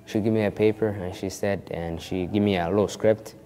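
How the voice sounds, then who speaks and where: Young man with a mellow low voice. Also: steady tone, a little fast, long reverb tail, slight background noise. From born in Kenya of a Somali family. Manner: rambling, many restarts and "you know". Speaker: actor interviewed. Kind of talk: casual storytelling interview.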